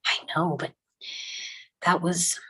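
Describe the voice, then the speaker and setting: A woman playing a hurt mother in a drama table read, pressing insistently for answers in a breathy voice. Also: fairly low pitch, little pitch movement, slow, pushed and bright, full character voice.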